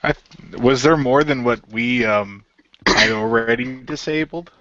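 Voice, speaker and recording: A man's voice: medium pitch, measured pace, bright, forceful tone, a little hiss or hum. A man playing a laid-back, resigned hero in an amateur radio play, speaking in a casual, understated way. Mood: casual, wry. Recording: dull lo-fi voice recording.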